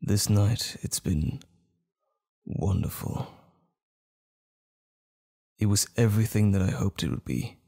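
A man playing a tender, smitten date in an intimate romantic ASMR roleplay, speaking gently and hesitantly with lingering pauses, close to the microphone. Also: on the low side. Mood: adoring, romantic. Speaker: audio roleplay performer.

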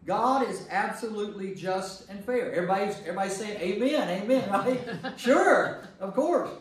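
Echoey, higher-voiced American man teaching. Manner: emphatic, repeats phrases for stress. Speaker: pastor leading a Bible study. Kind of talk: informal teaching with group discussion. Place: indoor church meeting room. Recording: echoey room, distant mic, faint noise.

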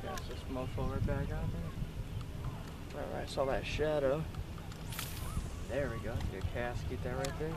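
Excitable young man fishing in the wind. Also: medium pitch, normal speed, pauses often. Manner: excited, exclaiming, loose and cheerful. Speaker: fishing vlogger. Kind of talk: casual vlog commentary. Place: outdoors on the bank of a pond. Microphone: camera mic on the bank. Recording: loud wind noise on the camera mic. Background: wind and water.

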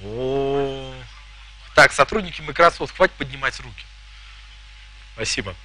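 A man's voice: medium pitch, unhurried, bright, forceful tone. A man in his thirties giving a live technical talk in a conference hall, joking and asking the audience questions. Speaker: conference presenter. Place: conference hall with a projector screen.

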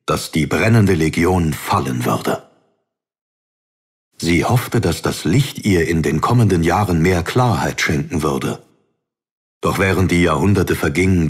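A man narrating a fantasy audiobook in a measured, serious storytelling manner. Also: low voice, husky. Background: music.

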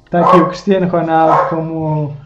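A man's voice: fairly high pitch, level tone, fairly quick, very noisy. Lisbon-accented young man on a lo-fi stream. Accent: European Portuguese, Lisbon. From Portugal. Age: 20s. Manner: chatty, thanking viewers by name while showing drawings. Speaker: live-stream host and artist. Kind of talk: casual live-stream chat, reading viewer comments. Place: small home room indoors.

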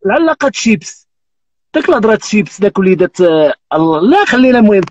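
A man's voice: high-pitched, sing-song, racing, a little mellow, highs cut off, a little hiss or hum. A Moroccan man in his thirties hosting a live stream, teasing and telling jokes with frequent oaths. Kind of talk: joking casual chat. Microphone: phone on live video call.

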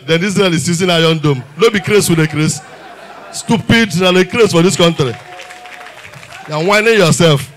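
A Nigerian man in his thirties doing a stand-up comedy set on a theater stage with a handheld mic, animated and full of rhetorical questions. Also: high-pitched. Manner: animated, mixes Pidgin and English, rhetorical questions. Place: large theater stage with audience.

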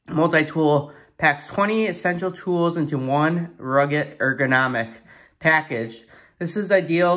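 A man giving a casual, excited hands-on product review at his kitchen table, thinking aloud and swearing in surprise. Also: on the high side. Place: kitchen table at home, indoors.

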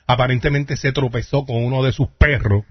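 A man's voice: medium pitch, steady tone, fairly quick. A man with a Puerto Rican accent co-hosting a morning radio show, joking through celebrity gossip in colloquial, mocking chat. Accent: Puerto Rican Spanish. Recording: slightly dull radio aircheck.

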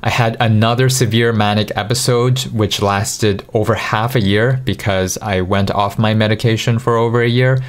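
A man in his thirties talking frankly and self-mockingly to camera in a small room at night, into a mic on a boom. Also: steady tone, moderate pace, few pauses. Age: 30s. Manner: frank, self-deprecating, conversational. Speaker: vlogger. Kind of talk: candid confessional monologue. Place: dim small bedroom at night. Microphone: studio mic on boom in front.

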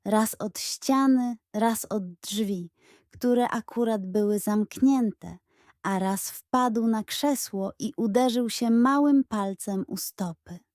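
A woman narrates a children's bedtime tale in a fairly high voice. She takes her time, very slowly building drama and suspense with expressive reading. The recording is dry, with no room sound. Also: dry recording. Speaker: storyteller.

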